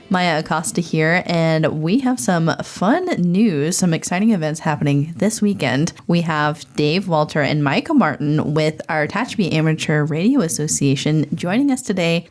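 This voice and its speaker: A woman with a somewhat low voice hosts a podcast interview. Her delivery is conversational, upbeat and encouraging toward the guests. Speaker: podcast host.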